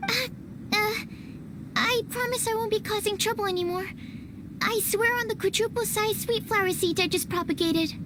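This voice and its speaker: Very high-voiced timid young alchemist. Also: female voice, moderate pace. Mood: embarrassed, apologetic. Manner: hesitant, polite, formal. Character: timid, apologetic, earnest young woman alchemist. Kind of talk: animated game dubbing. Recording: clearly noisy background.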